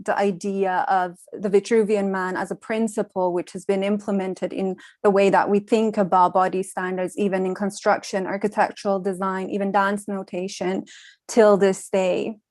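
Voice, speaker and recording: Young woman, mid-pitched, reflective panel speaker, deliberate with fillers, dry room. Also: a little mellow, dry recording. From Iran. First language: Farsi. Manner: measured, thoughtful, with frequent ums and uhs. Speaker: panel speaker, design researcher. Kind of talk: reflective conference talk.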